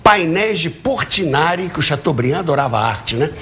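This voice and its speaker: An older man from Rio, in his seventies, speaks as a guest in an interview. His voice sits a bit high and swings widely in pitch. He tells his anecdotes eagerly, repeating words and posing rhetorical questions. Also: lively intonation, normal speed, fairly bright tone. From Brazil, Rio de Janeiro. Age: early 70s. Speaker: talk show guest, author of a book on radio theatre. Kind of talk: animated anecdotal storytelling in an interview. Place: TV talk show studio with audience.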